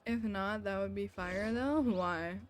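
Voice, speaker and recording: A woman's voice: medium pitch, takes it slowly. A woman live-streaming a video game with casual commentary, playfully sarcastic as she talks back to chat and game.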